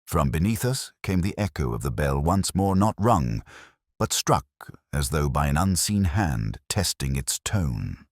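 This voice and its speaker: A man reading a Victorian detective mystery as a measured, literary first-person story. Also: extremely low pitch, big pitch swings, no room sound, clean studio sound. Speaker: audiobook narrator.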